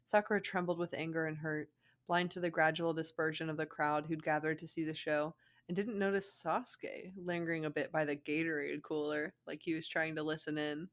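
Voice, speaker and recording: A woman with a slightly low voice reads a story aloud. Her narration is expressive and she often breaks into casual, chatty comments. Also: a little fast. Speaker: fan fiction reader.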